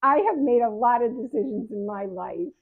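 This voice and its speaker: A woman's voice: a little high, moderate pace. A seventy-year-old woman giving frank, conversational advice to her viewers as a patient advocate, often correcting herself mid-sentence. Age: 70s. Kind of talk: casual advice talk to camera. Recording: phone-like narrow sound.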